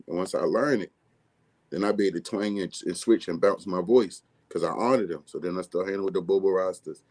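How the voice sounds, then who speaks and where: A man from with a deep, bass-heavy, mumbly voice, talking casually as a music artist guest in an interview. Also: dark tone. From Baltimore, USA. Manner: mumbly, laid-back streetwise delivery.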